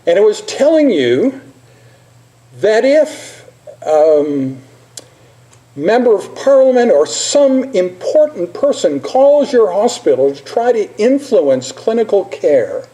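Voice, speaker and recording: An older man giving an informal presentation as a lecturer, halting at times with repeated words and pauses. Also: high voice, sing-song, mellow. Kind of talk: informal slide presentation with poetry recitation.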